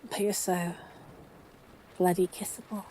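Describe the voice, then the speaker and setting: A woman's voice: lowish voice, level tone, warm tone, lightly acted. Woman doing sick lovesick girlfriend roleplay, flustered n gushy, takes her time talking, bit of background noise. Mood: giddy, smitten. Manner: flustered, gushing, halting, sighing and giggly. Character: lovestruck, grumpy-but-soft woman sick in bed, newly smitten. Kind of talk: intimate audio roleplay to a listener.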